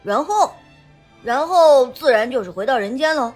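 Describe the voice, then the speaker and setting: A woman's voice: sits high, lively intonation, normal speed, a little mellow, faint music behind. A woman playing a precocious boy of four or five in an audio drama, with a childish voice and an old-fashioned, grown-up manner. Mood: sighing, storytelling. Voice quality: childish, slightly husky. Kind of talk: Chinese audio drama acting.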